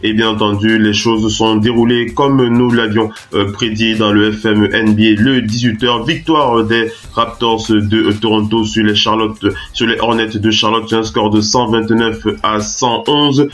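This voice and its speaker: A man's voice: on the low side, little pitch movement, measured pace, few pauses, a bit bright, soft background music. A man with a French accent hosting a basketball radio talk show from a studio while he has the flu, hesitant with many fillers and restarts, then bursts into hyped announcing. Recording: web radio broadcast.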